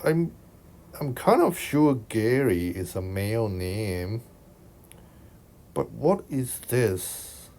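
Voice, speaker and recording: A man from Hong Kong with a Hong Kong accent talks through a worked maths problem in a slow, deliberate way. His voice sits on the low side, and he thinks aloud, often asking "right?" to check the listener is following. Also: very animated, gravelly, darkish tone. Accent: Hong Kong Cantonese. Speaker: maths tutor. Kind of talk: explaining a worked exam solution over screen recording. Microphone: voice-over on screen recording.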